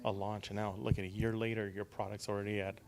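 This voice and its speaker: Low-pitched male interview host. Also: little pitch movement, quick pace, darkish tone, slight background noise. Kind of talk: casual promotional interview.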